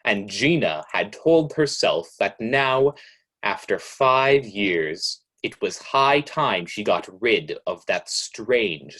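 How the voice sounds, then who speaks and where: A man acts out a shadowy storyteller of dark tales for a radio suspense play. His voice sits low and he takes his time, deliberate and ominous with every phrase. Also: heavily acted. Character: mysterious, ominous all-knowing male narrator of dark tales.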